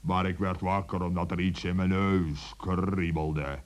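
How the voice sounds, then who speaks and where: Huge kindly giant, extremely deep, very slow and deliberate, children's radio play. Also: male voice, steady tone. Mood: drowsy, amiable. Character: huge male wizard giant, slow and good-natured.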